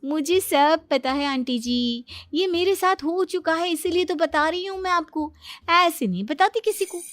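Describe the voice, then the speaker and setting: Woman playing a high, bright know-it-all young daughter-in-law. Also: moderate pace, no room sound. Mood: confident advice. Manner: boastful, emphatic, chatty. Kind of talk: comedic Hindi story dubbing.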